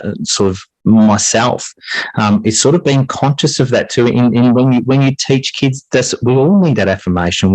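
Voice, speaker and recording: A man's voice: lowish voice, no room sound, rough recording. A man giving reflective interview answers as a podcast guest, earnest, often hedging and circling back as he explains. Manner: earnest, hedging with repeated "sort of". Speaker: podcast guest, high school English teacher and writer.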